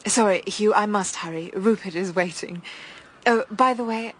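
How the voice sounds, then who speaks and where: An actress playing an enigmatic, composed young gentlewoman, polite and detached, in a radio drama. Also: mid-range voice, normal speed, close to an everyday voice. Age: young adult.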